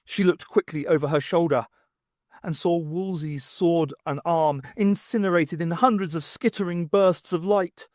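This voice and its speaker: A man's voice: fairly high pitch, a little slow, darkish tone, dry recording, clean studio sound. A man reading scripted dramatic narration for an audiobook.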